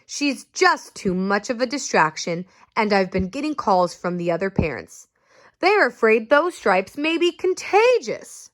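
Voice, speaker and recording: A woman's voice: a little high, very animated, a little slow. A woman reading a polite, regretful school principal. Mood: apologetic. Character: apologetic male school principal.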